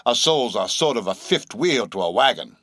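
A man's voice: mid-range voice, sing-song, normal speed, fairly bright tone, no room sound, studio quality, pitched above the performer's own voice. A man voicing a shabby, insanely earnest old sailor in an audiobook, speaking abruptly with nervous emphasis and derisive, cryptic questions. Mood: insistent, mocking. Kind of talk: audiobook character acting.

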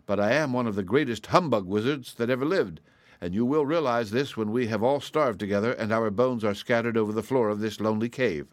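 A man's voice: on the low side, fairly quick, no echo, clean studio sound, voice pushed up, lightly acted. A man voicing a gloomy yet curious little humbug wizard, speaking in a wistful, thoughtful way for a storybook. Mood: resigned.

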